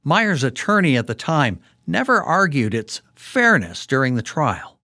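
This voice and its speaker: A man hosting a history podcast, narrating in a clear storytelling manner. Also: mid-range voice, wildly swooping pitch, unhurried, bright, forceful tone, dry recording, studio quality. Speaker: podcast host. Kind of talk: scripted documentary narration.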